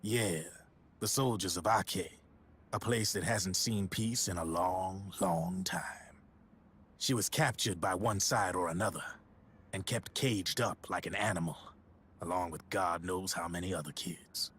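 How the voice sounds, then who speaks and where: Sly arms dealer in a game, a low, husky, raspy voice, wry and knowing. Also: male voice, unhurried, a bit bright, exaggerated voice.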